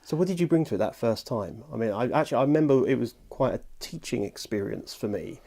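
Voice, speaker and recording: A man's voice: mid-range voice, animated, fast talker, vocal fry, warm tone. A man hosting a chatty, jokey interview with enthusiastic banter. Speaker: podcast presenter interviewing a guest. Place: audio studio. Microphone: lapel mic, later ambient room mic.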